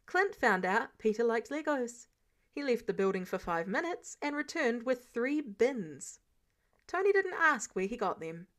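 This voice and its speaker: A woman reading fiction aloud as a narrator, in an expressive scripted storytelling style. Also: a little high.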